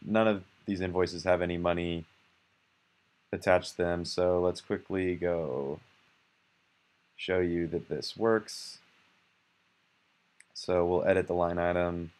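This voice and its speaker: Low-voiced man walking through software. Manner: relaxed and conversational, with fillers and self-corrections. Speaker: software developer demoing his app. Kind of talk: casual screencast walkthrough.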